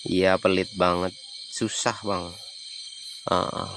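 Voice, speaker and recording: A man's voice: low-pitched, flat delivery, takes it slowly, a bit bright, slight background noise. A man from Banyumas with a Javanese accent, chatting casually on a livestream and answering viewers' comments. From Banyumas, Central Java. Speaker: livestreaming explorer.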